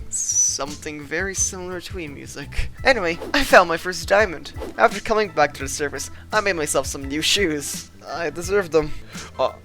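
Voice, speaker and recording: Bright-voiced young man, mock-epic narration. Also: a little high. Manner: deadpan mock-epic, swears casually. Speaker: gaming vlogger. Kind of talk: comedic scripted narration over gameplay.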